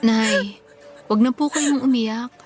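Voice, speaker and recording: A woman plays a forgiving eldest daughter in a radio play. She speaks calmly and reassuringly in a slightly high voice. Soft music sits in the background. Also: slow. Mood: gentle. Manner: calm, gentle, reassuring. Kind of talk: Tagalog radio drama acting.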